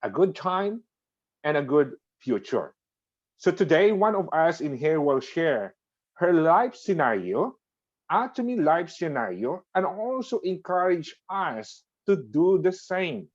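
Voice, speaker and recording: A man hosts an online meeting in a coaxing, motivational tone, often checking in with his listeners. His voice is somewhat high for a man, and the call audio sounds dull and lo-fi. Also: big pitch swings, unhurried. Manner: coaxing, checks in with "okay?" and "right?". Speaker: meeting host.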